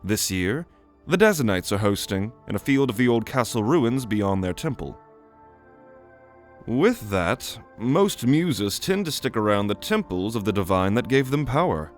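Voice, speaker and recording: A man's voice: on the low side, big pitch swings, unhurried, faint music behind. A man voicing a weary magic teacher in an audio drama, lecturing with a dry, wry manner. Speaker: narrator and teacher figure. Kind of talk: ASMR audio drama roleplay.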